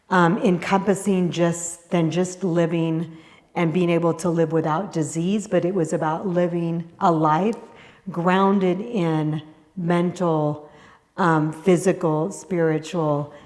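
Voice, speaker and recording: A woman from Algeria, a guest in a conversation, speaking in a thoughtful, measured way with occasional pauses. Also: fairly low pitch, a little mellow, long reverb tail. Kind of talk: conversational interview.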